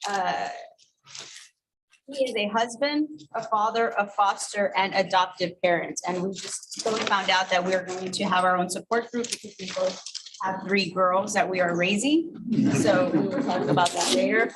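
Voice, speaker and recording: A woman's voice: medium pitch, normal speed, crowd noise, poor audio. A woman at a commission meeting, reading a speaker's bio with fillers and light humor. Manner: reads a prepared bio with fillers and light self-deprecating humor. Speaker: commission member introducing a speaker. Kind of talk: formal meeting introduction. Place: meeting room.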